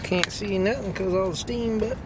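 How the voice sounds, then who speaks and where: Excitable man in a windy tent. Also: high-pitched, normal speed. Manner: excitable, exclaiming, joking. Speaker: camping vlogger. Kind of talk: casual solo vlog narration. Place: inside a tent in windy woods at night. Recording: wind and outdoor noise behind.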